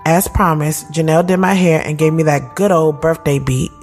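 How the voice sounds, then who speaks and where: A woman's voice: deep voice, moderate pace, lightly acted. A woman acting as a reflective thirty-year-old entrepreneur who tells her own story in a confiding, conversational, slangy way. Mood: fond, reflective. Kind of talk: first-person scripted audio drama narration. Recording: loud music bed behind the voice.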